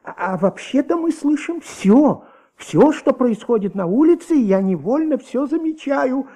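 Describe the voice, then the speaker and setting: A man's voice: extremely high pitch, sing-song, unhurried, mellow, heavily acted. A man playing an anxious, talkative, sleepless husband, a hesitant witness who repeats himself, in a Soviet radio drama. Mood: weary, fussy.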